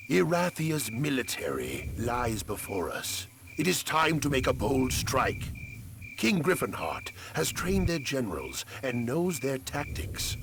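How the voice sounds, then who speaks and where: An actor playing a cold, scheming necromancer war commander, narrating a game cutscene in a theatrical, commanding, gravelly voice. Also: male voice, medium pitch, wildly swooping pitch, a little slow, background noise, heavily acted. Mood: menacing resolve. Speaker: campaign briefing narrator. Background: music.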